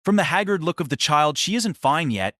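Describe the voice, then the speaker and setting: A man narrating a novel as a dramatic audio reading, solemn and theatrical, with measured phrasing. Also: a little high, expressive, bright, forceful tone, no room sound, studio quality.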